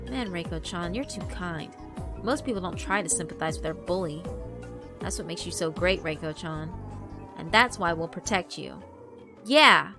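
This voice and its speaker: A woman voicing hot-headed, vengeful teenage schoolboy bullies in a horror visual novel, loud and aggressive. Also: lowish voice, expressive, moderate pace, music in the background. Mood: protective, self-righteous. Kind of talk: visual novel character narration.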